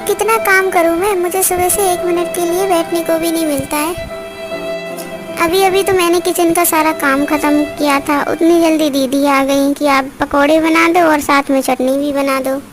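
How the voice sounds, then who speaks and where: A woman's voice: extremely high pitch, fairly even intonation, moderate pace. A woman voicing a dutiful but fed-up, put-upon young daughter-in-law in a cartoon family drama. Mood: exasperated. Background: music.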